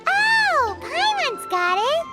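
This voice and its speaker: A tiny fairy companion with a very high, squeaky voice that swoops up and down. She speaks in slow, drawn-out words and teases the people around her. Soft game music plays underneath. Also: sing-song, heavily acted. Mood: cheeky. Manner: bouncy, teasing, talks about herself in third person. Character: tiny floating fairy-like girl companion, chatty and cheeky. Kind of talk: video game voice acting.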